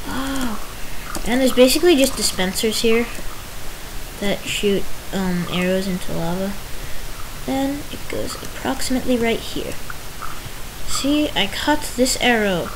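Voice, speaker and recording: A young woman giving a rambling, self-interrupting commentary while touring a game world she built. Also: on the high side. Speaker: Minecraft video host. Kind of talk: casual gameplay tour commentary. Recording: hissy home recording.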